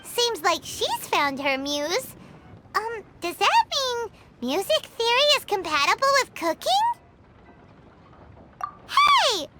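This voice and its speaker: A woman voicing a tiny, excitable fairy girl companion in a video game, squeaky and childlike, calling herself by name. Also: slow, pauses often, background noise, full character voice. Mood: excited, chatty. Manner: refers to herself by name, exclamatory.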